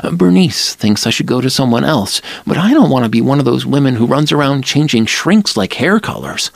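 A man's voice: sing-song, fairly quick, creaky, no echo. A man reading an audiobook, voicing a fragile, needy young woman in a raised, breathless, pleading voice. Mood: anxious. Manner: breathless, pleading, voice lifted high. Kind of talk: audiobook character voice.

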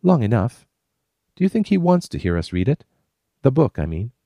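A spirited frontier woman with a raised, lively voice that swoops high, as a deep-voiced male reader puts her on. She teases and talks breezily, close and dry. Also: normal speed, husky, no echo. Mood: teasing. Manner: teasing, breezy.

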